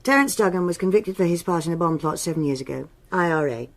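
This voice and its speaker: An actress playing a stern, no-nonsense police superintendent in a radio drama, clipped and authoritative. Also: female voice, fairly low pitch, expressive, fast talker. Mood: brisk, official. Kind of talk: BBC radio drama acting.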